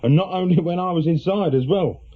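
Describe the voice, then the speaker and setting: An actor playing an awkward middle-aged reformed small-time crook with an English accent, a worried husband in a British radio drama, husky and hesitant. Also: male voice, sits high, fairly quick. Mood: earnest, troubled. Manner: hesitant, colloquial, defensive. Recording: muffled, lo-fi radio drama recording.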